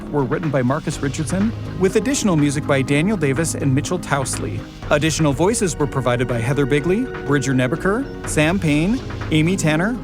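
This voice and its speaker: A middle-aged American man speaking as a podcast co-host in a conversational presenting style. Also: on the high side. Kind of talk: conversational podcast hosting and credit reading. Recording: music playing behind the voice. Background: music.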